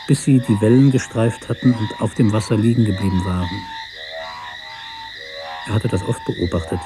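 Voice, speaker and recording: A man reading a literary story as narrator in a measured, sober manner. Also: fairly low pitch, poor audio.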